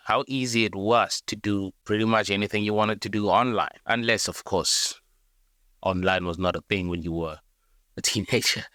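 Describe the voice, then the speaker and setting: A man's voice: lowish voice, sharp tone, no echo, studio quality. A South African man hosting a documentary-style video, speaking earnestly and directly to the viewer. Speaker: video essay host. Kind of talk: scripted documentary narration.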